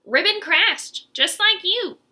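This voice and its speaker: Woman putting on a high, chatty old female snake. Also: expressive, slow pace, pushed and bright, exaggerated voice. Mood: reminiscing.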